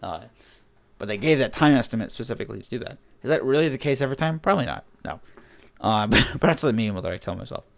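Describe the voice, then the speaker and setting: A man's voice: mid-range voice, expressive, fast talker, creaky. A young man hosting a podcast, losing his voice, chatting casually with lots of laughs and fillers. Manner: rambling, laughs often, many fillers. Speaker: podcast host. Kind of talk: casual chat.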